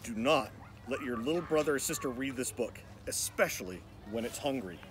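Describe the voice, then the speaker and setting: A man reads a picture book with a lively, swinging pitch. He is theatrical and mock-ominous, telling it like a cautionary tale for children. Light outdoor noise sits behind him. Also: a little fast. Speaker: nature educator reading aloud. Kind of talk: dramatic children's storybook reading.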